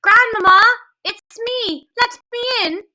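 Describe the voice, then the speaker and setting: A woman reading aloud, voicing an excited, brave young boy in a storybook. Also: high voice, moderate pace, heavily acted. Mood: calling. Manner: breathless, excited.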